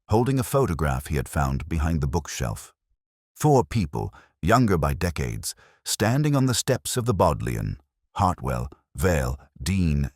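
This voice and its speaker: A man playing a melancholy, reflective police inspector, narrating his case in a measured, literary way like an audio drama. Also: a bass voice, a little mellow, no echo, clean studio sound, heavily acted. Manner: measured, literary, brooding delivery. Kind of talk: scripted first-person detective audio drama narration.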